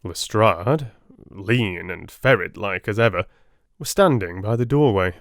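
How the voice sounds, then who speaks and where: A man narrating a dramatic audiobook with theatrical, deliberate phrasing. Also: on the low side, animated, dry recording, clean studio sound.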